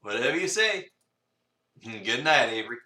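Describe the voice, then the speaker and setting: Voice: medium pitch, sing-song, moderate pace, pushed and bright. A performer voicing an aloof talking male cat, curt and dismissive, in a visual novel reading. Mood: dry, curt. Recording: roomy, distant mic with noise.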